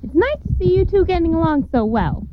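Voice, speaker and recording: A squeaky, sky-high helium voice on a band member. He chatters along cheerfully in a radio sketch. The sound is muffled, like an old radio tape. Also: big pitch swings, brisk, background noise. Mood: chirpy. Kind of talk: 1990s college radio sketch comedy.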